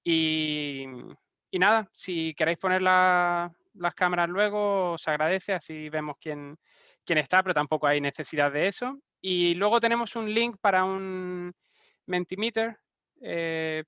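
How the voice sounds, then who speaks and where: A man's voice: sits high, a little slow, pushed and bright, smooth, no echo, muffled recording. A man in his thirties with a Spanish accent, giving a casual online talk with frequent restarts and fillers. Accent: Spanish (Spain). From Argentina, raised in Spain. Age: 30s. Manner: hesitant, many restarts and fillers. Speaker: guest speaker. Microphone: video call.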